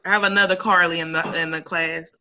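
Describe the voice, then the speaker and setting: A woman, a reading teacher, introducing herself in a relaxed, joking way on a video call. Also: on the low side, fast talker. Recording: dull lo-fi call audio with faint noise.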